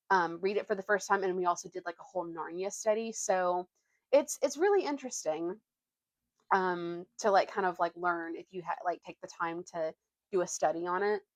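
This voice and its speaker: A woman's voice: lowish voice, normal speed, dry recording. A young woman with an informal, youthful way of talking, reading a storybook aloud and chatting as a vlogger. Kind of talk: storybook narration and casual chat.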